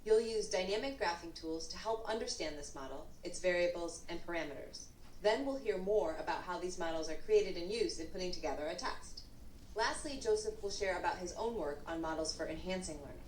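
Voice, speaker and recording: A woman giving a measured, scripted course introduction as its narrator. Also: lowish voice. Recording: played course video, roomy with faint noise.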